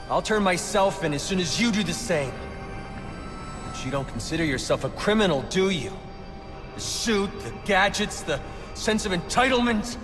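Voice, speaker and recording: A young man playing an idealistic, grandiose anarchist bomber in a video game, speechifying with theatrical fervor. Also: high-pitched. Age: young adult. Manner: impassioned, grandiose oratory. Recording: long reverb with loud music behind.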